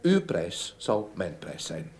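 A man's voice: low-pitched, wildly swooping pitch, takes it slowly, a little mellow, heavily acted. A man playing a wealthy older shipping magnate in a radio drama, formal and hesitant yet pressing. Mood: urgent, uneasy. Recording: faintly noisy old radio recording.

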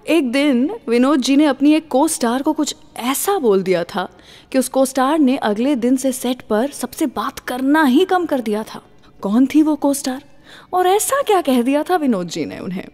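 A woman's voice: fairly high pitch, a little mellow, long reverb tail. An Indian woman hosting a radio show, telling stories to listeners in a scripted style and mixing in English phrases. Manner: mixes English words and phrases into the talk.

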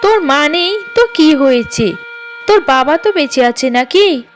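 A woman's voice: high-pitched, expressive, measured pace, faint music behind. A woman voicing a heartless, spiteful aunt in a cartoon story, scolding in a cutting manner. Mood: angry scorn.

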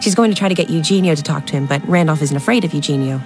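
A woman's voice: deep voice, rapid-fire, music in the background. A woman playing an artless young American socialite in a period radio drama, breezy, candid and rambling. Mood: breezy, chatty.

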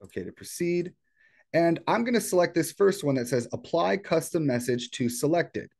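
Man giving a casual software tutorial. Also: fairly high pitch, big pitch swings, moderate pace, vocal fry. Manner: informal step-by-step explaining. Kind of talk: casual screen-share tutorial. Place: indoors at a computer.

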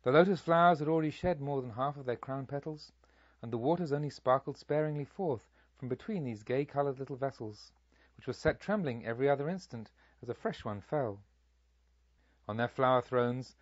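A man reading literary narration as an audiobook, in a solemn, measured storytelling manner. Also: medium pitch, dry recording, dull audio. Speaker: audiobook reader.

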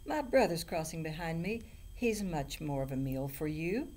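A woman's voice: deep voice, big pitch swings, moderate pace, darkish tone. A woman voicing a medium-sized male billy goat in a storybook, pleading emphatically in a plain voice.

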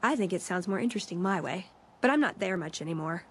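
A woman's voice: lowish voice, lively intonation, fast talker, husky. A woman playing a friendly, wry young scavenger companion in a video game, chatty and playful. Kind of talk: video game dialogue acting.

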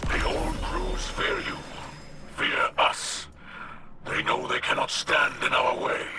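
A man voicing a proud, solemn alien warrior leader in a video game, breathy and growling, with formal, weighty delivery. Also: mid-range voice, huge pitch swings, slow, heavy background noise, full character voice. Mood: stern, proud.

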